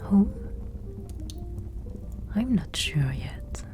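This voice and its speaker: A woman performing a whispered ASMR roleplay as a secretive 1500s noblewoman, speaking in a hushed, measured, conspiratorial way. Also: medium pitch, sing-song. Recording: music playing from another room. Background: period music, muffled as from another room.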